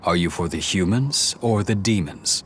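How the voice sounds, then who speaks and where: A deep-voiced stern warrior. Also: male voice, expressive, normal speed, slight background noise. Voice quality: blunt, clipped, serious. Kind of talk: video game cutscene voice acting. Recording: game audio with music behind.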